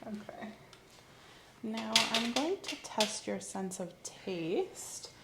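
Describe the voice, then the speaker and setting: Soft-spoken woman giving a slow exam. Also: medium pitch, sing-song, roomy sound, very noisy. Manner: slow, gentle, instructive, often whispering. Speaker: ASMR creator playing an examining clinician.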